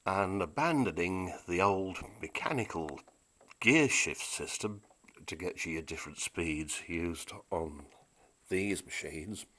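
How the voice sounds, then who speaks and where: A British man with a very low-pitched voice talks casually, as if showing a gadget to a friend. His delivery is chatty and unscripted, with a wry, understated manner. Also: a bit bright. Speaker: presenter demonstrating vintage audio equipment. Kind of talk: casual unscripted demonstration chat.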